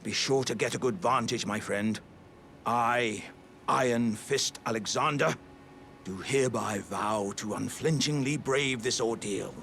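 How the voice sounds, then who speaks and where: An actor playing an earnest, jovial living warrior jar in a video game, speaking with grand, old-fashioned courtesy and theatrical formality. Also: male voice, mid-range voice, unhurried, gravelly, fairly bright tone, a little hiss or hum. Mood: delighted, resolute. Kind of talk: video game character dialogue.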